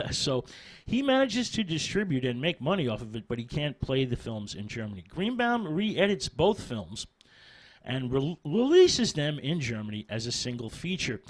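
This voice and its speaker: A man's voice: mid-range voice, very animated, moderate pace, poor audio. Man with swooping, emphatic radio delivery. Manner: hesitant, frequent ums and repeated words. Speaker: radio show host. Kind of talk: film history talk for radio, half-scripted.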